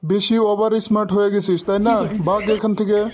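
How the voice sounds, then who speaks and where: Pompous old male cartoon teacher, voiced by a man, scolding and irritable, high-set voice with little melodic movement, some background noise. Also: completely flat delivery, fast, a little mellow, natural voice. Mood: irritated. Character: boastful, short-tempered old male schoolteacher quizzing pupils. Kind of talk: comic cartoon dialogue.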